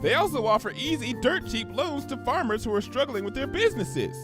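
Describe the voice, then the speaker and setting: A man's voice: very high voice, lively intonation, brisk, music in the background, pitched above the performer's own voice. A man voicing a hyperactive, cheerful young female cartoon pony with a bubbly, gushing, rambling manner. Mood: earnest, chirpy.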